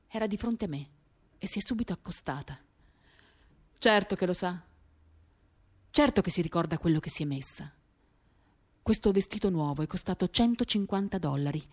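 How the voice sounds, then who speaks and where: A woman performing an expressive, theatrical first-person literary reading for radio, moving between animated and hushed delivery. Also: lowish voice. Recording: acoustic guitar accompaniment. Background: guitar music.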